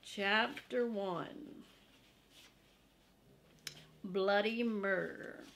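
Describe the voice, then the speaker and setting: Older woman reading aloud, unhurried. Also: mid-range voice, creaky, a bit bright, a little hiss or hum. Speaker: storybook reader. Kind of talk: read-aloud storytelling for children. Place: living room, seated in an armchair.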